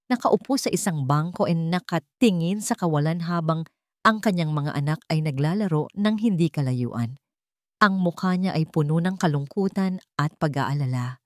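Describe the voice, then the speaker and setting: Dramatic woman storyteller, lower-pitched. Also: a little mellow, no echo, studio quality. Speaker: story narrator. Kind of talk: dramatic scripted story narration.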